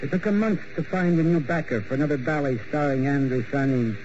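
Measured male radio storyteller. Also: fairly high pitch, a little mellow. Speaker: storyteller, guest narrator. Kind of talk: scripted narration. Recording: muffled old radio broadcast with hiss.

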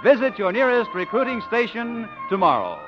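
Animated American vintage radio announcer man. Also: high voice, normal speed. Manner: formal, emphatic. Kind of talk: 1950s radio announcing, scripted. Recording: muffled vintage radio recording, music.

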